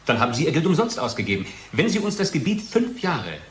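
A man's voice: fairly high pitch, huge pitch swings, fast talker. Male research manager, radio play: sardonic, emphatic, roomy sound with slight noise. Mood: sardonic, indignant.

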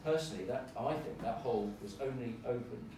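A man giving measured, opinionated remarks as a panel speaker. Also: mid-range voice. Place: lecture room, indoors. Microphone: far camera in the audience. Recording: echoey lecture room, distant mic, noisy.

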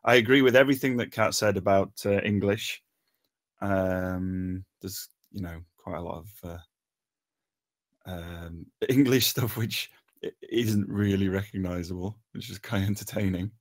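A man with a fairly low voice gives an informal critique. He talks haltingly, feeling for his words with plenty of ums and pauses. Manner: hesitant, halting, frequent long pauses and ums. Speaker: table read participant giving script feedback. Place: remote online voice call.

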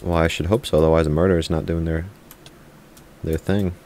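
A young man with a low, mumbly voice chatting casually while playing a game with a friend, soft-spoken and a bit flat. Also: fairly quick, slight background noise. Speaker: gaming friend. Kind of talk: casual gaming chat.

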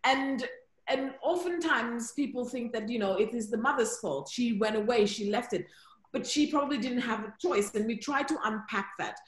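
A woman's voice: a little high, moderate pace. A woman facilitating an online workshop over a video call, explaining and inviting people to join in. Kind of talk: informal spoken workshop facilitation. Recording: echoey room on video call.

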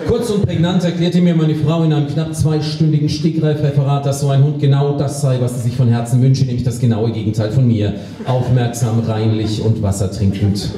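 A man performs a humorous spoken-word text on stage, deadpan, with theatrical pauses and emphasis. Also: mid-range voice, background noise. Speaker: poetry slam performer. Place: small club stage with live audience. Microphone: stand microphone on stage, picked up by distant camera. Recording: very echoey hall, distant camera microphone, audience.

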